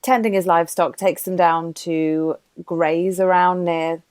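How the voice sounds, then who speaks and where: A woman's voice: fairly low pitch, steady tone, unhurried, a little mellow, smooth, no echo. A woman from Wales, a guest on a comedy podcast, telling an old legend in a chatty, giggly way. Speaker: guest storyteller. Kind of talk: casual comedic podcast chat.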